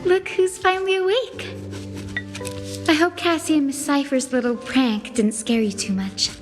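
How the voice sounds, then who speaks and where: A woman's voice: high-pitched, fairly even intonation, normal speed, in a large hall, over music, lightly acted. A woman playing a sweet, caring young woman in a video game, with a kindly, encouraging manner. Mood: friendly.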